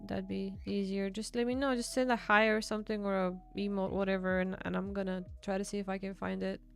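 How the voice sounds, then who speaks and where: Gravelly woman streamer. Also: mid-range voice, flat delivery, faint music behind. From Bulgaria. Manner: chatty, reads and answers chat, quick laughs. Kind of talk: casual livestream chat with viewers.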